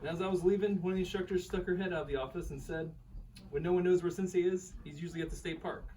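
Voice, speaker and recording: A man's voice: high-pitched, very fast, natural voice. A man playing a sheepish, self-doubting husband telling his story in a live radio play reading. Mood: defensive, rueful. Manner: conversational, rueful, animated storytelling. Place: live reading at a bookshop event. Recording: distant mic, faint room noise.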